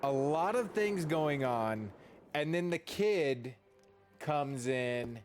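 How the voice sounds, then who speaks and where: Animated man chatting on a game stream. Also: on the high side, slow, soft background music. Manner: informal, mild euphemisms for swearing. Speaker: game livestreamer. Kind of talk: casual rambling livestream chat.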